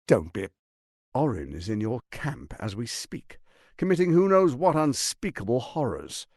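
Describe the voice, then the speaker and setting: An actor playing a scheming, arrogant middle-aged tyrant lord in a video game, with a pompous, silky, menacing delivery. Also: male voice, mid-range voice, very animated, a little slow, darkish tone, no echo, studio quality. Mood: urgent, commanding. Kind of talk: theatrical video game voice acting.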